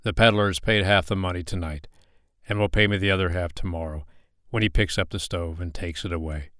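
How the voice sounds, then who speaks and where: Man playing a tired, sad, low-voiced father. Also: fairly even intonation, a little fast, fairly bright tone, no echo, clean studio sound. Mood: grim. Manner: grave, plain-spoken.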